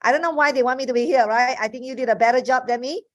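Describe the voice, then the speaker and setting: A woman's voice: high-pitched, very fast, a bit bright. A woman in her fifties from Malaysia, a native Chinese speaker with a Malaysian accent, giving an earnest motivational talk on a video call with frequent restarts. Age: 50s. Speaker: webinar speaker.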